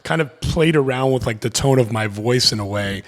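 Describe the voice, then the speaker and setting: A man in his thirties from the Miami area, a podcast guest with a deep voice, talking in a rambling way full of fillers and restarts. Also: normal speed. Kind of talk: casual podcast conversation.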